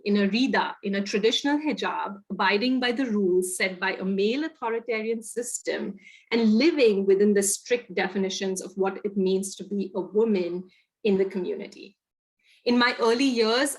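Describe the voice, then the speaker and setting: A woman's voice: medium pitch, moderate pace. A woman from India with an Indian accent, giving a reflective storytelling presentation on a video call. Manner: reflective storytelling, lively emphasis. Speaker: guest speaker. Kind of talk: webinar presentation.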